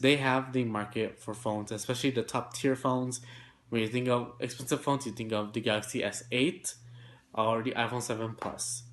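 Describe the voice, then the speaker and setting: A man with a blocked, congested nose reads through technology news stories. He pushes to get through it fast but stays chatty, dropping in fillers and asides as he goes. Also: medium pitch, fairly bright tone. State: congested, nasal. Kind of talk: remote tech news segment.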